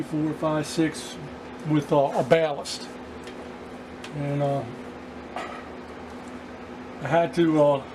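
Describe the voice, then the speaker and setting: A man with a slightly high voice talks through a hands-on repair in a casual way. He often stops mid-sentence before picking the thought up again. Clear background noise runs through the amateur recording. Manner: halting, pausing mid-sentence. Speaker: vlogger repairing vintage radios.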